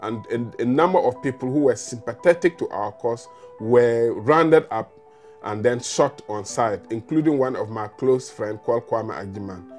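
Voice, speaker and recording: A man's voice: mid-range voice, expressive, normal speed, darkish tone, soft background music. A man from Ghana, giving articulate, earnest interview testimony. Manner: earnest, articulate, some repetition. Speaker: interviewee, former refugee.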